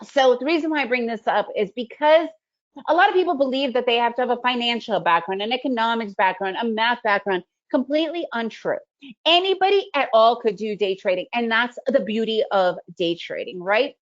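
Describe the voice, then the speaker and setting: A middle-aged woman whose first language is Russian leads a trading webinar in a fairly high, conversational voice, often checking in with "right?". She comes through a video call with slightly dull, lo-fi sound. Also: expressive, brisk. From Soviet Union, raised in the United States. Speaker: day trading educator. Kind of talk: webinar presentation.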